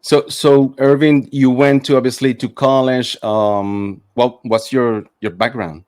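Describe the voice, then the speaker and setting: A man's voice: mid-range voice, fairly even intonation, a little slow, a little mellow, no room sound. A middle-aged man hosting a podcast in a casual interviewing style. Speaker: podcast host.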